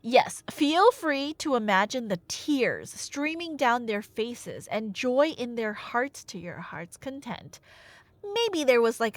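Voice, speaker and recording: A woman's voice: fairly high pitch, fairly bright tone, no echo. A woman telling a story in a casual, rambling ASMR style, playful and slangy with wry asides. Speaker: storyteller playing the listener's nerdy girlfriend.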